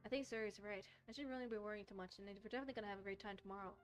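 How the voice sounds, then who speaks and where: A woman's voice: medium pitch, very fast, faint music behind, natural voice. Woman reading as a mild schoolboy narrator. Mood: pensive. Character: mild, uneasy schoolboy narrator of a dating story. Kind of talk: visual novel text read aloud.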